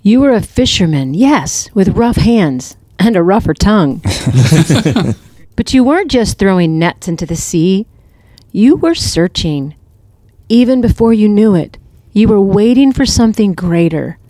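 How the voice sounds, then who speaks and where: A woman playing a wise, tender older mother in an audio drama, speaking in a gentle, reassuring and earnest way. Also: mid-range voice, very animated, a little slow, no echo. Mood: earnest, consoling.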